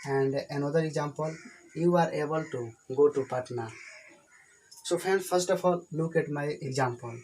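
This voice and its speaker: An Indian-accented man, a native Hindi speaker, gives a whiteboard lesson on English grammar. His voice sits a little high for a man. He talks in simple phrases and goes over each point again and again. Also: normal speed, a little mellow, a little hiss or hum, rough recording. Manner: repetitive, plain explanations. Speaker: English teacher.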